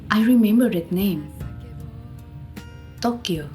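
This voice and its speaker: A woman's voice: medium pitch, lively intonation, slow, mellow. A woman playing a young Javanese captive, wary but resolute, in a radio drama with music behind her. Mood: subdued, wary.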